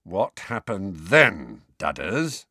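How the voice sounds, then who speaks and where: A man voicing a loud, bullying, blustering middle-aged uncle for a storybook reading. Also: deep voice, very animated, measured pace, breathy edge, no room sound, studio quality, exaggerated voice. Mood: forced calm. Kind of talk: audiobook character voice.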